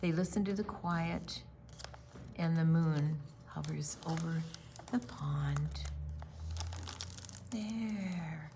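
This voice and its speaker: A woman reading a picture book aloud to young children, gentle, with dramatic pauses and drawn-out words. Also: sits low, slight background noise. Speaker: storytime reader.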